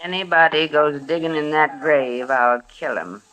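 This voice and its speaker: A woman's voice: low-pitched, unhurried, a bit bright, low-quality recording. An actress playing a fierce, stubborn middle-aged frontier mother in a radio western, terse and defiant, with a rural American Western accent. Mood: hard, defiant. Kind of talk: 1950s radio western acting. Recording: vintage radio drama recording.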